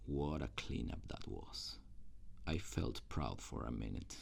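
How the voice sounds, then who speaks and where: A man voicing a jaded, cruel demon guardian in an ASMR roleplay, with a dry, intimate, sardonic manner and a gravelly voice. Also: animated, takes it slowly, exaggerated voice. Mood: smug, boasting. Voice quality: very deep, gravelly.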